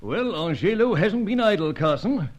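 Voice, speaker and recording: Grave spy chief, animated delivery. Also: male voice, sits high. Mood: grave, briefing. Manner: formal, measured, old-fashioned phrasing. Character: grave, gentlemanly British male spy chief. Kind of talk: vintage radio spy serial acting.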